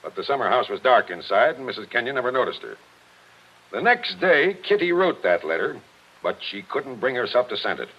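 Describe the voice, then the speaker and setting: A muffled vintage radio recording of a relentless police captain. He has a mid-to-low male voice with very lively pitch, pressing each point in clipped, forceful tough-cop style, as in 1940s radio mystery acting. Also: a little fast, husky. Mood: insistent, explaining. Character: gruff, relentless police captain laying out the truth.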